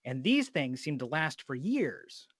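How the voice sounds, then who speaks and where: A man's voice: on the high side, very animated, a little slow, no room sound, clean studio sound. A middle-aged man hosting a casual interview, talking in a rambling, unfiltered way with some swearing. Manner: rambling, profane.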